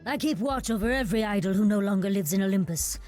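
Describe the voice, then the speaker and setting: A woman's voice: medium pitch, fast talker, a little mellow, faint music behind, lightly acted. An actress playing a cursed, blood-hungry female monster spy who speaks in formal, archaic, pleading phrases in a video game. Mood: guarded, formal. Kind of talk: theatrical video game voice acting.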